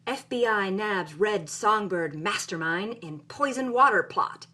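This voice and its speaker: Mid-pitched female storyteller. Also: expressive, a little slow, sharp tone. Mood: amused. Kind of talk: conversational storytelling narration.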